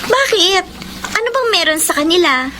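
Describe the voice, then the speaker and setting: High-voiced lovestruck young Filipina. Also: female voice, big pitch swings, moderate pace, noisy background. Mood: sweet, smitten. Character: lovestruck young daughter, sweet, earnest, eager. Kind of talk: Filipino radio romance drama acting and narration.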